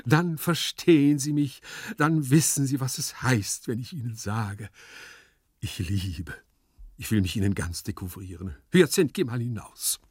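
A man's voice: on the low side, lively intonation, measured pace, a little mellow, slightly rough, dry recording, studio quality, full character voice. A man voicing a corpulent, lovesick storybook nobleman who sighs and declaims with theatrical sentimentality. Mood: rapturous. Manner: pathetic declamation, sighing, swooning.

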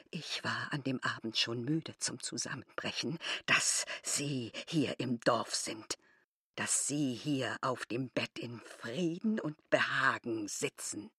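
A woman's voice: extremely low pitch, bright, forceful tone, heavily acted. A middle-aged innkeeper woman in a radio drama. She is proud and self-important, lecturing in formal, emphatic phrases with a weary edge. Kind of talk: literary radio drama acting.

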